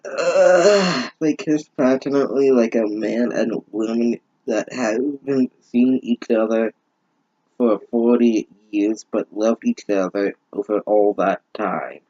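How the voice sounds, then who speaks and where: A man's voice: mid-range voice, takes it slowly, mellow, dull audio. A man giving a mocking reading of fan fiction, breaking in with exasperated, sarcastic, sweary asides. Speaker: host of a bad-fanfiction reading series.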